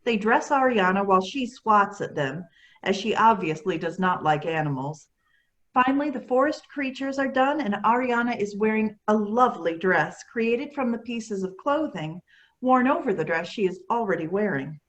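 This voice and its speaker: A woman's voice: mid-range voice, lively intonation, normal speed, a bit bright, muffled recording. A woman narrator reading scripted stage directions for a play.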